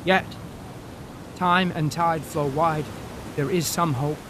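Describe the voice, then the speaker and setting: A dutiful, conflicted first mate in a seafaring audio drama. His voice is high for a man, earnest and anguished, with archaic wording. A clear rumbling ship noise lies behind it. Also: fairly even intonation, slow pace. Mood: tormented. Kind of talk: theatrical audio drama acting.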